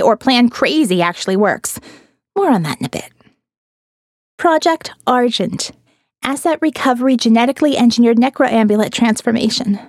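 A female audiobook narrator with a fairly high voice reads a first-person diary. She is expressive and reflective with a wry edge. The recording is close and dry, with no room sound. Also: no echo. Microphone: close studio mic, dry.

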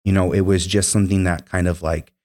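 Deep-voiced young man, earnest, filler-heavy. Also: level tone, fast, a little mellow. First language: Spanish. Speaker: guest, former military member. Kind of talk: casual interview storytelling.

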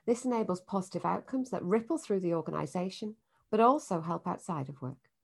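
A woman's voice: fairly low pitch, expressive, vocal fry, breathy edge. A woman hosting a leadership podcast, reading a polished introduction and then chatting with her guest. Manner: polished when reading, friendly and halting when improvising. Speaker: podcast host. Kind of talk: scripted intro, then casual interview.

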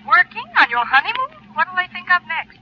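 A woman's voice: very high-pitched, big pitch swings, normal speed. An actress playing a gushing, teasing young woman friend on the telephone, in 1930s radio acting. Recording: dull old radio broadcast with hiss.

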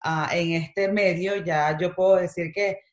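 A middle-aged Venezuelan woman talks in a fairly low voice with a Venezuelan Spanish accent. She chats with animated energy and slips English words into her speech. The room around her rings with echo. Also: a little slow, highs cut off. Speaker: guest, makeup artist. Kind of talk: casual interview chat.

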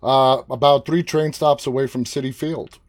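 A man's voice: medium pitch, fairly even intonation, normal speed, dry recording. A man from Queens with a New York accent, interviewing a guest with friendly, eager questions. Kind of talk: interview chat.

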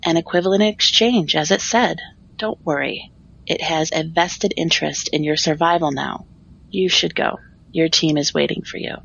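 An actor playing a calm, formal spirit go-between in an audio drama, speaking in a measured, reassuring way. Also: medium pitch, gravelly, husky, muffled recording.

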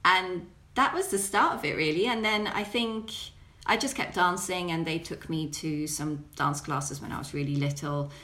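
A woman's voice: lowish voice, moderate pace, sharp tone. A young woman from Belgium, a ballet dancer and choreographer, answering interview questions in a reflective, chatty way with many fillers. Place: dance studios.

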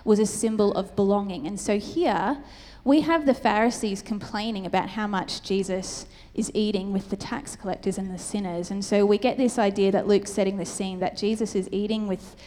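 A woman preaching a friendly, conversational sermon, her voice a little croaky. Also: medium pitch, steady tone, normal speed, mellow. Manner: friendly, conversational teaching with light humor. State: slightly croaky voice. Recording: long hall reverb.

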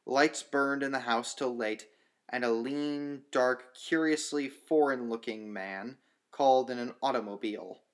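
Man reading classic horror fiction, mid-pitched. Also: a little slow. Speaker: audiobook narrator. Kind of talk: scripted narration of a classic horror story.

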